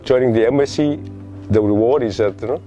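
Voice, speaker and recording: Halting male priest with music behind. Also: mid-range voice, warm tone, dull audio. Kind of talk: personal testimony.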